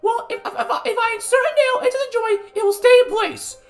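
A woman's voice: very high voice, steady tone, fairly quick. A woman voicing a scatterbrained, well-meaning animatronic chicken in an amateur cartoon skit, eager and earnest.

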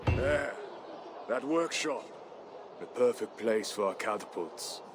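An actor playing a grim, world-weary Viking warrior with a dry way of talking. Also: male voice, on the low side, expressive, takes it slowly, background noise.

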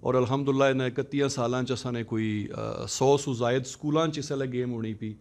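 A man's voice: medium pitch, fairly quick. Middle-aged man. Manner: relaxed, digressive storytelling. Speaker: martial arts academy founder. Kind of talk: conversational self-introduction. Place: conference room. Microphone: handheld mic.

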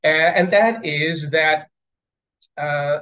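A man in his fifties from Sweden giving an academic keynote over a video call, pausing often with fillers and repeated words. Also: on the high side. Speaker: plenary speaker. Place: indoors at home, stairs behind. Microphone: video call webcam. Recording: dull lo-fi video call audio.

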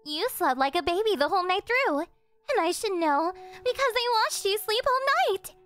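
A woman's voice: very high-pitched, lively intonation, normal speed, a bit bright. A woman playing an obsessive young cafe server turned kidnapper in an anime-style roleplay, sugary, teasing and possessive. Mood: gleefully cheerful. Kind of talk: yandere ASMR roleplay acting, anime-style. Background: music.

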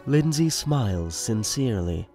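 Male narrator, radio drama, conversational storytelling, mid pitch, lively intonation. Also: a little slow, soft background music. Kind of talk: radio play narration, present tense.

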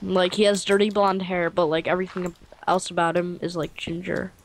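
A woman's voice: fairly low pitch, little pitch movement, brisk, a little hiss or hum. A young woman chatting casually while gaming, rambling and joking in a crude way. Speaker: gamer in a multiplayer session.

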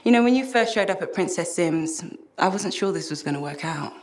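A woman's voice: lowish voice, animated, fairly quick, lightly acted. A woman playing a troubled, earnest young mystic friend in a video game cutscene, wry and thoughtful. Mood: pensive. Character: troubled, earnest young female cypher, self-blaming friend. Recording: music behind the voice.

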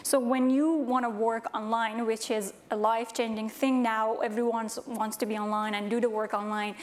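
Woman from afghanistan, english, earnest, lots of fillers, higher voice, conference panel. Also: little pitch movement, normal speed. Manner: earnest, many fillers, non-native phrasing. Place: large conference stage. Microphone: lapel mic.

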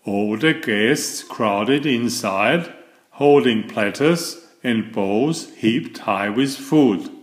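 A man reading a children's picture book aloud as an expressive storyteller with deliberate phrasing. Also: medium pitch, reverberant. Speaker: storybook reader.

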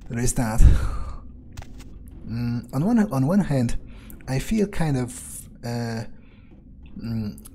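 A man with a mellow, dark voice thinks aloud as his game character. He rambles hesitantly and swears casually, with faint game ambience behind him. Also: medium pitch, animated, slow, a little hiss or hum. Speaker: let's player.

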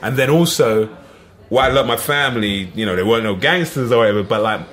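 A middle-aged man with an East London accent, telling lively stories as a podcast guest, full of "you know what I mean". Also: mid-range voice, fast talker. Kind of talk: casual storytelling.

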